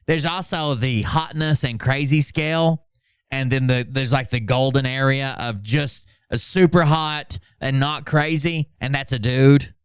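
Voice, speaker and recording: Smooth-voiced, sardonic man hosting a stream. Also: mid-range voice, steady tone, measured pace, no room sound, studio quality. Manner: mocking, sardonic, profane. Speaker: host of a commentary livestream. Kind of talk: sarcastic reaction commentary with mock-dramatic readings.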